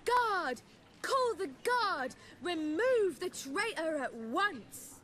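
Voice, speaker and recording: An actress playing an imperious princess in a stage play within a fantasy game, declaiming theatrically. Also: female voice, extremely high pitch, very animated, very slow, fairly bright tone, exaggerated voice. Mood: imperious alarm.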